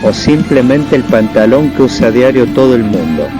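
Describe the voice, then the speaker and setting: A man reads a scripted podcast intro at a middle pitch, measured like an announcer. Loud plucked guitar music plays behind him. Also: expressive.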